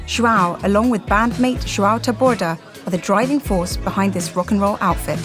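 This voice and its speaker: Woman host and chef, friendly and familiar, music under her. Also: mid-range voice. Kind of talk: hosted interview with scripted intro.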